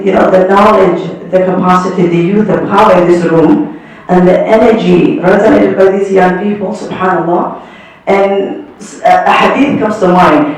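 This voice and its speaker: A man who teaches Islamic studies, giving a short reverent speech that opens with quick Arabic blessings. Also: high voice. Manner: reverent, rapid Arabic blessings, pausing phrases. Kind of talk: short speech with religious phrases. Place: large conference hall. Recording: echoey hall from far off.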